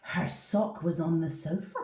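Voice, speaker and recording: A woman's voice: sits low, unhurried, darkish tone. A woman reading a children's story aloud with theatrical, dramatic delivery. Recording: echoey room, distant from the mic.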